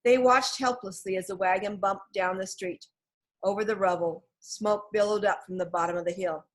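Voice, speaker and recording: A woman narrates a children's chapter book at a medium pitch. Her reading is scripted and expressive, in the manner of an audiobook. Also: moderate pace. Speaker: audiobook reader.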